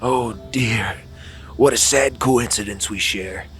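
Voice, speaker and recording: A man voicing a flustered, excitable police commissioner in a comic script reading, with an overwrought, stammering delivery. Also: medium pitch, slow, soft background music.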